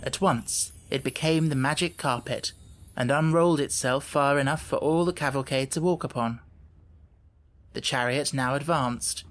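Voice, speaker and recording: A man's voice: medium pitch, moderate pace, fairly bright tone. A man narrating a children's story as a scripted audiobook reading.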